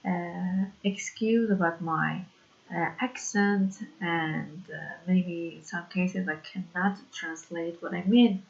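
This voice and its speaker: Slow, hesitant woman from Iran. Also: on the low side, steady tone, vocal fry, lo-fi sound. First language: Persian. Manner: slow and hesitant, many fillers. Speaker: programming tutor. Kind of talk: screen-recorded coding tutorial.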